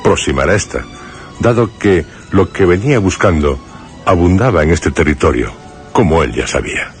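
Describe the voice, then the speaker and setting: An actor playing a grave male narrator in a suspense radio drama, with a measured, literary and solemn delivery. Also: low-pitched, expressive, soft background music. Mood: measured, reflective. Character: grave male narrator recalling a troubled friend in suspense tale.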